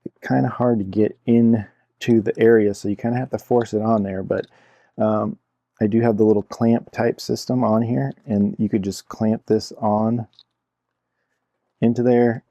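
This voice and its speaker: A man's voice: lowish voice, gravelly, warm tone, no echo, lo-fi sound. A man giving a casual hands-on product review in an informal, explanatory way, repeating himself as he goes. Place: indoor room at a cluttered computer desk.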